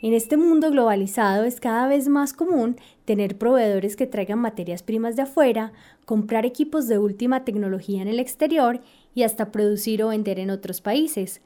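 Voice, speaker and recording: A woman hosting a podcast, reading scripted educational narration in a measured, explanatory way. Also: a little high, warm tone. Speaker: podcast host.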